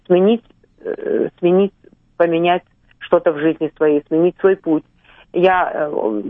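A woman's voice: lowish voice, dry recording. Older russian singer lady on the radio, emotional, lots of ums, dry tinny phone sound. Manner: emotional, drawn-out fillers, slips into English words. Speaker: singer guest. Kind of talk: radio interview.

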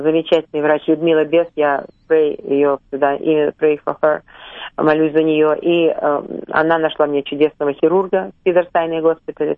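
A woman's voice: low voice, dry recording. Older russian singer lady on the radio, emotional, lots of ums, dry tinny phone sound. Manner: emotional, drawn-out fillers, slips into English words. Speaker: singer guest. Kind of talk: radio interview.

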